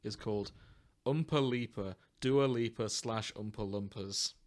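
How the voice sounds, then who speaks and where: A man's voice: on the low side, unhurried, a little mellow. A man hosting a podcast, chatting casually and comically with co-hosts in a jokey, giggly way. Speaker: podcast host. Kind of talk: casual chat and comic reading aloud with co-hosts.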